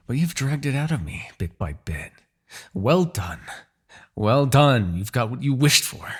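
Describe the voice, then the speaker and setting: A man's voice: mid-range voice, fairly bright tone, husky, full character voice. A man playing a proud, bitter, centuries-old demon king in a fantasy audio roleplay, menacing, theatrical and sardonic. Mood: furious, wounded.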